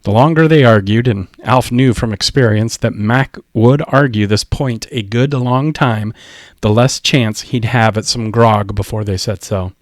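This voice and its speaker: A man reads a story aloud as an audiobook narrator. His voice sits in a middle register and the delivery is expressive and scripted. The recording is dry, with hardly any room sound. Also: mid-range voice, a little slow, no echo.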